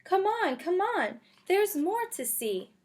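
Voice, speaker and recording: An eager little storybook mouse, read aloud by a woman, bossy and urging. The voice is pitched high and exclaims its words to show off the house. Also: sing-song, takes it slowly, background noise, heavily acted. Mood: eager, inviting.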